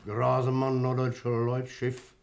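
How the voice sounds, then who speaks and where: An actor playing a jovial but blustering, gruff ship's captain in a 1960 German radio crime play. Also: male voice, completely flat delivery, unhurried, heavy background noise. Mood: grumbling. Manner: booming bonhomie, gruff cursing, emphatic.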